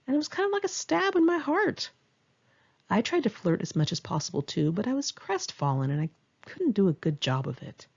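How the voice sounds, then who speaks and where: Woman, lowish husky voice, candid conversational memoir narration, slightly muffled lo-fi recording. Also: darkish tone. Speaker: author reading a memoir chapter. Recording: dull, lo-fi home recording.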